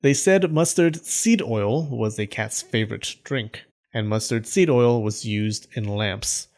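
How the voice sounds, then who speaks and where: A man narrating a scripted folktale in a humorous storytelling style, with deadpan joking asides. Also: mid-range voice, unhurried. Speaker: storyteller, channel host.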